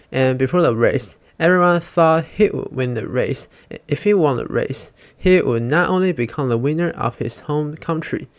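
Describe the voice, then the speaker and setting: A man's voice: on the high side, no room sound. A man reading scripted narration as a fan commentator, with non-native phrasing and grammar slips.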